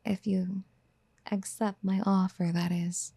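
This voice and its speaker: Actress for a clingy, doting yandere mommy in ASMR audio: slow, hushed and breathy, close and dry, gently coaxing. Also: female voice, medium pitch, level tone, no echo, exaggerated voice. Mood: gentle, hesitant. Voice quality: soft, breathy, often near a whisper. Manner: tender, coaxing, hesitant pet-name murmuring. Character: doting, obsessive yandere woman wanting to mother the listener. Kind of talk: soft-spoken ASMR roleplay. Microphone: close microphone in a dry, quiet space.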